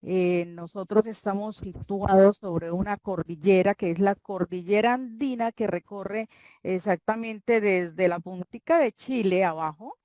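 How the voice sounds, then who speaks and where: An older woman from Colombia with a Santander accent, a guest author chatting in an interview, talking warmly and rambling with frequent restarts. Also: mid-range voice, measured pace, no room sound. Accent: Colombian Spanish, Santander. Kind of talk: casual interview chat.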